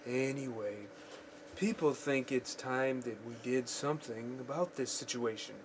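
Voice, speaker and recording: A man playing a calm, patient father in a school radio drama, speaking deliberately and explaining things. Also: medium pitch, lightly acted. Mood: patient, serious. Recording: faint background noise, home recording.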